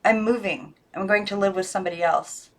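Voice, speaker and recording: A woman voicing a lonely young girl who speaks plainly and gently, in a storybook reading. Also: medium pitch, steady tone, brisk, close to an everyday voice. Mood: guarded, resigned. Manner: plain, gentle, short answers. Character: Maggie, lonely young girl, tender and matter-of-fact.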